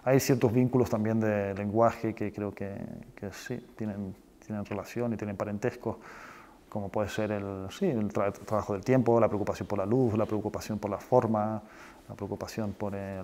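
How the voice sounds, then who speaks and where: A man with a fairly low voice speaks as a film director being interviewed. He is thoughtful and halting, feeling his way through each idea. He often closes a phrase with a short questioning tag. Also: fairly even intonation, warm tone, a little hiss or hum. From Spain and Chile. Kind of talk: reflective interview talk about own work.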